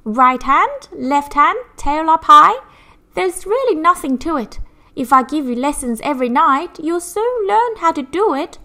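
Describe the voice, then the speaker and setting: A woman's voice: high voice, sing-song, measured pace, pushed and bright, pitched above the performer's own voice, exaggerated voice. A woman voicing a spirited young female circus acrobat in a storybook, giving emphatic, encouraging instruction.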